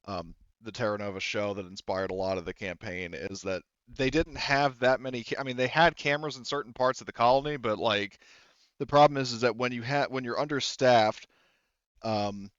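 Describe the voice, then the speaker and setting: A man casually improvising as a tabletop game master, explaining haltingly and often restarting his sentences. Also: mid-range voice, steady tone, fairly bright tone, clean tone, dry recording, muffled recording.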